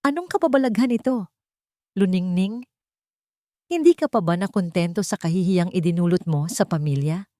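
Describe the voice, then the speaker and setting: A woman's voice: fairly low pitch, expressive, normal speed, long pauses, warm tone, no echo, clean studio sound, lightly acted. Woman acting haughty, sneering Filipino rich matriarch. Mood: scornful. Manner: sneering, menacing. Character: haughty, scornful rich matriarch, cruel mother-in-law.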